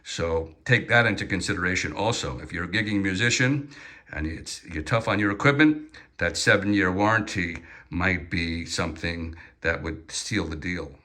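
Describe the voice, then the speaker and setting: A man's voice: sits low, normal speed, sharp tone. A man giving an unscripted, informal and opinionated product review, explaining features hands-on as he goes. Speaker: product reviewer presenting portable PA speakers.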